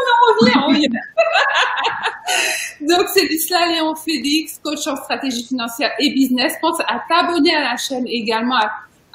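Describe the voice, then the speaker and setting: A woman's voice: a little high, fairly bright tone, echoey room, poor audio. A woman hosting a casual video interview, chatty and emphatic, laughing often. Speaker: interview host.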